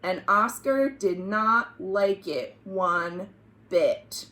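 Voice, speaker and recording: A woman hosting a children's storytime, reading aloud expressively and pausing to explain words and ask the listeners questions. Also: mid-range voice, pushed and bright. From United States.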